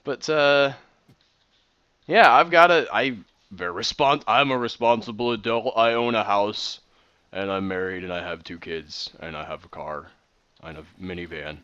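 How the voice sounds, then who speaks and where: A man in his thirties, excitable and rambling, chatting casually while playing a building game. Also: on the low side, moderate pace, clear, resonant tone, dry recording, dull audio. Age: 30s. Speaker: Minecraft builder and streamer. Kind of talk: casual commentary while gaming.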